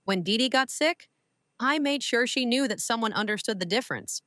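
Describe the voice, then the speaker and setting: A woman playing a shrewd, composed older widow and mentor in an audio drama, speaking with deliberate authority. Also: a little high, animated, a bit bright, dry recording, studio quality. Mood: sombre, firm.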